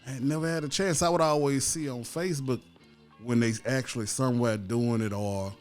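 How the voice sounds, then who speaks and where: A man's voice: mid-range voice, steady tone, fairly quick, darkish tone, soft background music. A man in his fifties, a guest on a chat show, talking in an easygoing, rambling way. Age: 50s. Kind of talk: casual chat, reminiscing.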